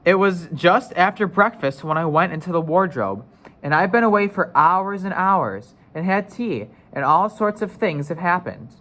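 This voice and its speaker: A man voicing an earnest, excited young girl who speaks with pleading emphasis in a storybook read-aloud. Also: sits high, normal speed. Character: earnest, excited young girl Lucy, insisting she's truthful.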